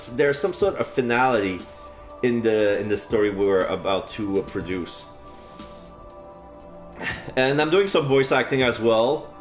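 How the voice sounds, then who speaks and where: A man's voice: medium pitch, reverberant. French Canadian man streamer, rambling. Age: 40s. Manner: rambling, many fillers and restarts. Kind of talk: casual live-stream chat.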